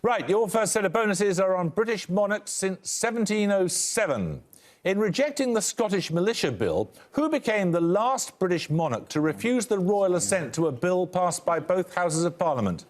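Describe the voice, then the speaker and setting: A man hosting a television quiz show, reading questions and introductions in a crisp, dry, authoritative way with wry asides. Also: sits high, sing-song, moderate pace, a faint crowd. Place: television studio.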